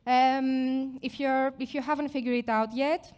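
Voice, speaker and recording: A woman's voice: high voice, fairly even intonation, unhurried, darkish tone, smooth. A woman from Greece with a Greek accent, giving a conference product presentation in a chatty, self-deprecating way with frequent fillers and restarts. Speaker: product marketing manager presenting. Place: conference stage.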